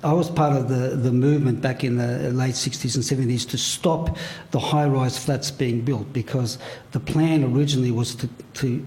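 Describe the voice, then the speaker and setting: An older man from Australia talks through his memories in a halting way, full of "uh"s and repeated starts. His voice sits in a middle pitch. It is a casual panel talk, recorded at a public venue. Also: mellow. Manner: halting, many fillers and restarts. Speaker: panel guest, writer.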